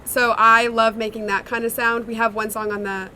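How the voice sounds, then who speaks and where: A woman's voice: fairly high pitch, flat delivery, moderate pace, pushed and bright, slight background noise. A young woman, a singer-songwriter, answering interview questions in a chatty, reflective way with many fillers. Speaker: songwriter and singer in a sister duo.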